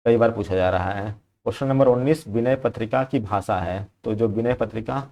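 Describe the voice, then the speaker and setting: A man's voice: fairly low pitch, normal speed, dark tone. A young man teaching exam preparation, going through practice questions in a conversational way that repeats key points and keeps checking in with the viewer.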